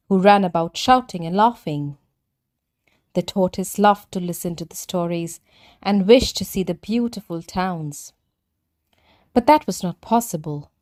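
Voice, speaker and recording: A woman's voice: fairly low pitch, darkish tone. Woman reading a children's story aloud.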